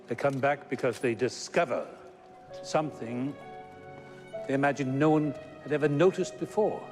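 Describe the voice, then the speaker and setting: An actor as a reflective man speaking scripted screen drama lines. His pitch is middling for a man, and music plays clearly behind him. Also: normal speed, a little mellow, natural voice. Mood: quiet, reflective.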